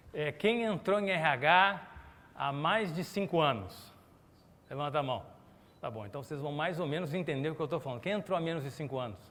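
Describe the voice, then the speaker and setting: Animated man giving a keynote in a hall. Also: a little high. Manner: animated, chatty, asks the audience to raise hands. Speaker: conference speaker. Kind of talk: lively corporate keynote talk with audience questions. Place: conference hall. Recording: long reverb of a conference hall.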